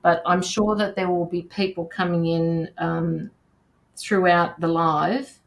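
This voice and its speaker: A woman's voice: on the low side, fairly even intonation, takes it slowly, echoey room. Informal woman hosting a livestream. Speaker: livestream host. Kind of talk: casual livestream chat.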